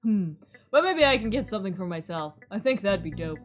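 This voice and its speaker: A woman's voice: mid-range voice, very animated, brisk, faint music behind, lightly acted. A woman voicing a sullen, laid-back young slacker guy who mumbles in slang, in a visual novel. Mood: sullen, casual. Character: gruff, slouchy young man, sullen slacker.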